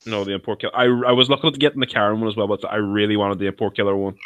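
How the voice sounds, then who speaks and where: A man co-hosting a podcast, chatty and quick to react. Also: lowish voice, fairly even intonation, a bit bright, smooth, no room sound, dull audio. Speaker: podcast co-host. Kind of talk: casual chat.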